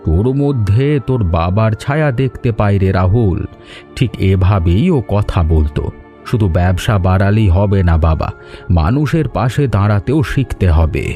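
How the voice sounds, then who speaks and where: A man voicing a caring, reassuring elderly grandfather in an animated story. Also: lowish voice, a little slow, soft background music. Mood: solemn, reassuring. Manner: reassuring, advising. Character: caring elderly grandfather handing over the family business.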